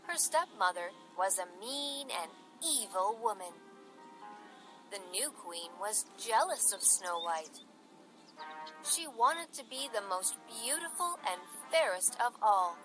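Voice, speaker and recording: A woman's voice: mid-range voice, lively intonation, slow pace, poor audio. A woman storyteller reading a scripted bedtime story for children. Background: music bed.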